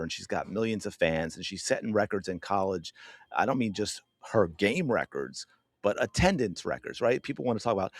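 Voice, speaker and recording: A man hosting a sports podcast who gives opinionated commentary in an emphatic, animated way while addressing his viewers directly. His voice is going hoarse. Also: sits low, no echo. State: losing his voice.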